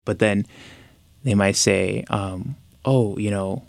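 A man in his twenties who grew up in America, speaking in an interview in a careful, hesitant way, pausing and restarting often. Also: fairly low pitch, creaky, no room sound, studio quality. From United States. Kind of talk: personal interview talk.

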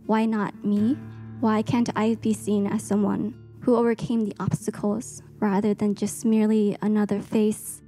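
A woman's voice: mid-range voice, dark tone. A young woman from Hong Kong, a student, telling her personal story in an earnest, reflective way. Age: young adult. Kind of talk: personal testimony.

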